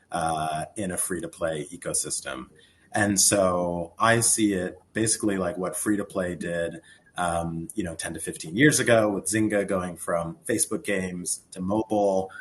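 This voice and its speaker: Very deep-voiced man explaining on a panel. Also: a bit bright, slight background noise. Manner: measured and explanatory, with frequent "um". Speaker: conference panelist. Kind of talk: panel discussion answer.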